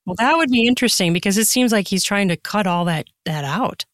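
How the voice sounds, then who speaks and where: A woman's voice: mid-range voice, animated, fairly quick, dry recording, clean studio sound. A woman chatting casually and emphatically as a guest in a podcast interview.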